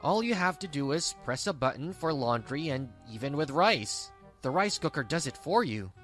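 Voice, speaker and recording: A woman's voice: deep voice, animated, normal speed, soft background music. A woman voicing a selfish, dismissive young husband in a manga dub, whiny and condescending.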